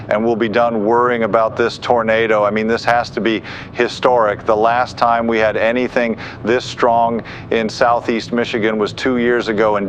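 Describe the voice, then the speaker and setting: A man giving urgent live tornado coverage as a weather forecaster, grave, insistent and speaking off the cuff. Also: fairly low pitch. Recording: dull muffled audio with faint noise.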